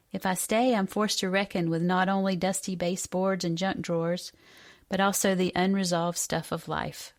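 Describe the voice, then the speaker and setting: Lower-pitched woman reading reflectively, slightly creaky. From Western North Carolina, USA. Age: around 50. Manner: measured, reflective. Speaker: essayist. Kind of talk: reading a personal essay.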